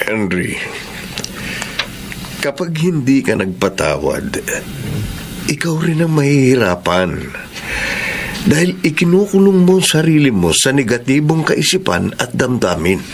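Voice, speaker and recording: A man's voice: mid-range voice, very animated, a little slow, long pauses. A man playing an earnest, understanding friend giving counsel in a drama, with background noise. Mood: earnest advising. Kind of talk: expressive dramatic acting.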